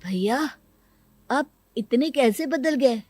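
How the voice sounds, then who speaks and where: A woman's voice: sits high, expressive, slow, darkish tone, no echo, clean studio sound, soft background music, full character voice. A woman voicing a polite, hurt younger relative in a cartoon family moral story. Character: hurt, polite younger brother and wife, pleading.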